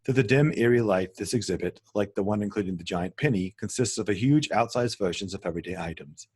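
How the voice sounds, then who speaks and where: Guy with a lowish, kinda husky voice reading script directions out loud for a table read. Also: fast talker. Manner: stumbles and corrects himself while reading. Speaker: narrator reading stage directions. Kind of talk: screenplay table read narration.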